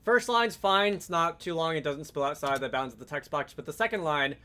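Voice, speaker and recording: A man with a fairly high, bright voice walks through a software tutorial. He explains things conversationally, step by step, with casual asides along the way. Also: brisk. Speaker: tutorial host, game programming instructor.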